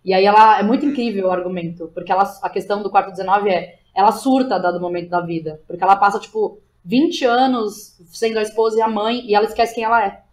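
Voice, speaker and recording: A woman's voice: medium pitch, fairly even intonation, fast talker, few pauses, a little mellow. Effusive Brazilian woman chatting casually. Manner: colloquial, effusive, emphatic. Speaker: podcast guest, playwright and educator. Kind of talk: casual conversational interview.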